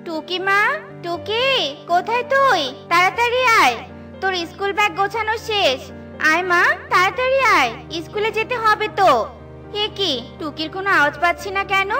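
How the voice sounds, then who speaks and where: A woman's voice: very high-pitched, normal speed, music in the background. A woman voicing a fussing mother bird in a children's cartoon. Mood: anxious, calling. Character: fussing mother bird calling her daughter.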